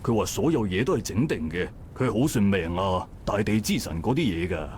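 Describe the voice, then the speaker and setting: An actor playing a calm, steady male dockworker who gently reassures a friend in a visual novel. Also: lowish voice, a little fast, slight background noise, natural voice. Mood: gentle reassuring. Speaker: game character. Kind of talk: voiced visual novel dialogue.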